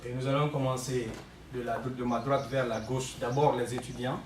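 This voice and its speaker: A man's voice: medium pitch, little pitch movement. A man from Guinea, a student and former magistrate, leading a formal round of introductions at a meeting in a room, recorded from a distance. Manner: measured, polite, leads the turn-taking. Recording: echoey room, distant mic, noisy.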